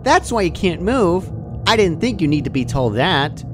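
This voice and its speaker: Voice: medium pitch, big pitch swings, moderate pace, music in the background, pitched above the performer's own voice, close to an everyday voice. A performer voicing a cheerful but deranged female party pony in a story reading, bubbly and sweetly menacing. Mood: chiding, chirpy. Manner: giggly, bubbly, casually chiding.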